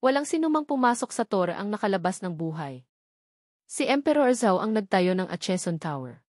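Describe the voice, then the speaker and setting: A woman's voice: mid-range voice, very animated, moderate pace, a little mellow, no room sound. Woman narrating a novel, very dry recording. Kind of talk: scripted audiobook narration.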